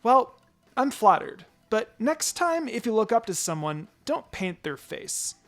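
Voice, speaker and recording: A man voicing a spirited, cheeky teenage girl ninja in a storybook reading, bright and teasing. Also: high-pitched, big pitch swings, a little slow, soft background music, voice pushed up, exaggerated voice. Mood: amused, kindly.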